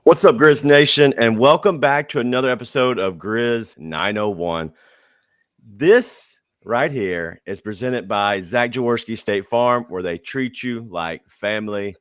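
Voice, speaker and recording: A man hosting a casual sports podcast, upbeat and quick to hype things up. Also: medium pitch, smooth, no room sound, studio quality. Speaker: podcast host.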